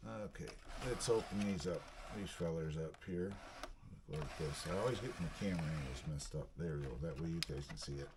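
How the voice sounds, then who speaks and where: Male vlogger, low-pitched, folksy and casual, unboxing narration over heavy background noise. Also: fairly quick. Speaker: video channel host. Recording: clearly noisy recording with clicks.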